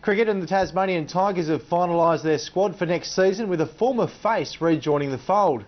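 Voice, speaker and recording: Male sports newsreader, Australian accent, fairly high voice, slightly dull broadcast audio. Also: fairly quick. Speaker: sports presenter. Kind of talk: scripted sports news reading.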